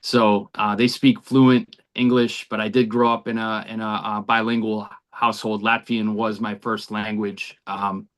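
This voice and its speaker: Middle-aged guy, coaches college ball, kinda low voice, thoughtful, lots of ums. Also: flat delivery, a little slow, few pauses, a bit bright. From United States, near Boston. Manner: earnest, reflective, many ums and you knows. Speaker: college baseball head coach. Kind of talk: interview guest.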